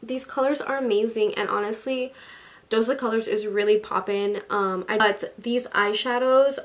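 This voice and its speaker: A young woman in her twenties chatting about makeup, gushing and chatty, full of likes and excited exclamations, filmed in a small room at her vanity. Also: a little high, moderate pace. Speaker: beauty vlogger. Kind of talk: casual makeup haul chat. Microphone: camera at desk distance.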